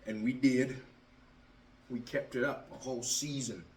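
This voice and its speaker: A man performing a stage monologue as a bitter, drunken Southern ex-athlete confronting his father, halting and repeating his words. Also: mid-range voice, fairly even intonation, lightly acted. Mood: wistful, bitter. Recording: roomy, distant mic, faint noise.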